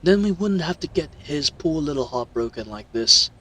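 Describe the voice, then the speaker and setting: A man's voice: fairly high pitch, animated, normal speed, pushed and bright, voice pushed up, low-quality recording. A man voicing a smug, mocking villain with theatrical, condescending delivery in an audio roleplay. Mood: gloating. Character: smug, mocking male villain crime broker.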